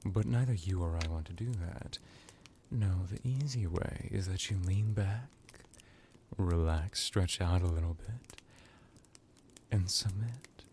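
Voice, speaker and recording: A man voicing a seductive, menacing vampire necromancer in an intimate ASMR hypnosis roleplay, purring deliberately and slipping into whispers. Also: low-pitched, a little mellow, a little hiss or hum. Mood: coaxing menace.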